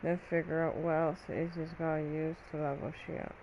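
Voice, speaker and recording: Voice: medium pitch, level tone, fairly quick, darkish tone, muffled recording, slight background noise. A game streamer giving casual, slangy commentary, mostly talking to themselves while playing. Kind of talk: casual muttered gameplay commentary.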